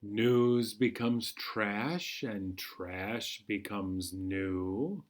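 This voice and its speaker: A man hosting a children's storytime, reading a picture book aloud in a measured, singsong way. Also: lowish voice. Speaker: children's storytime host at a library.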